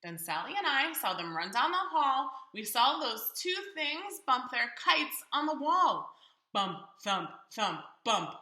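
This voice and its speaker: A woman's voice: high voice, sing-song, unhurried, pushed and bright, reverberant. A woman reading a storybook aloud to young children expressively and theatrically, putting questions to her listeners.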